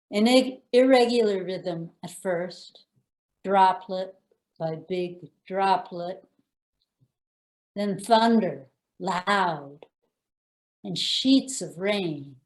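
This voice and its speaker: Slow, lowish-voiced woman reciting poetry. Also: darkish tone. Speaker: poet.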